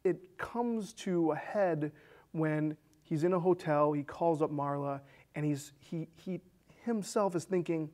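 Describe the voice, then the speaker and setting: A man in his thirties speaks in a casual, conversational way as a film show co-host. His voice sits a little higher than most men's. He retells stories as if talking to a friend across the table. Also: a little slow, darkish tone. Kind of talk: casual movie discussion, retelling a plot. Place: small indoor studio set with curtains.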